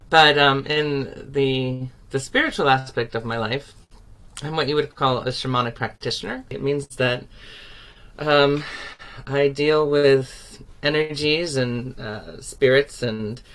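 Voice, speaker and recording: A woman's voice: rumbling low, slow pace, a bit bright. A woman from the United States whose first language is Ukrainian, answering interview questions animatedly and laughing often. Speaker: guest, singer.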